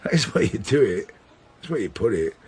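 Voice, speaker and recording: Seasoned British detective sergeant for radio drama: low-pitched, animated intonation, unflappable, drily polite mentor. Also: male voice, slightly rough, full character voice. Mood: wry, steadying. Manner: measured, dry, polite. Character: senior police detective sergeant, unflappable, dryly courteous, fatherly mentor. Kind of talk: naturalistic radio drama acting.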